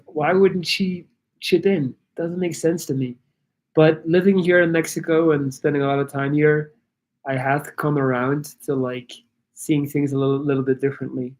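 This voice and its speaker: Man, from Belgium, livestream chat, fairly high voice, rambling, halting, restarts. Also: a little mellow. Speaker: YouTuber answering live chat.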